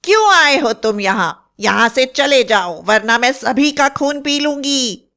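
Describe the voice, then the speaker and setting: A bloodthirsty cheetah witch from a horror cartoon. The voice is high and crystal clear, drawing out each threat slowly and tauntingly. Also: lively intonation, pushed and bright, exaggerated voice. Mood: furious, threatening. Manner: threatening, taunting, screeching delivery. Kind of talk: animated Hindi horror fairy tale voice acting.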